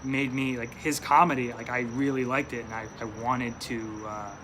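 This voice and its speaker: A man's voice: medium pitch, steady tone, moderate pace, background noise. Young man with a New York accent, hesitant. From upstate New York. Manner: rambling, hesitant, many fillers and restarts. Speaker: stand-up comedian, guest. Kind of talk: casual interview chat.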